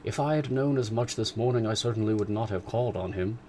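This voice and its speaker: A sardonic older father who teases his family with perfect composure, as a male reader plays him. The voice sits low and level, close to the reader's own, with a dry ironic edge. It suits a storybook reading. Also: quick pace, a little mellow, slight background noise, natural voice. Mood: mock sorrow.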